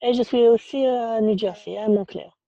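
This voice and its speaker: A woman's voice: a little high, gravelly, dull audio. A woman interpreting a video meeting into French, relaying each speaker in the first person. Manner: rapid first-person relay of others' introductions. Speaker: simultaneous interpreter.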